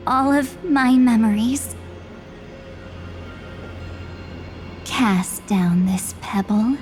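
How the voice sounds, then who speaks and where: A woman voicing a solemn, enigmatic young woman in a video game, speaking deliberately and portentously. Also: a little high. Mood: resolute, grave. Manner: slow, deliberate, portentous delivery. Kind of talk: dramatic video game voice acting. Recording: under clear background music.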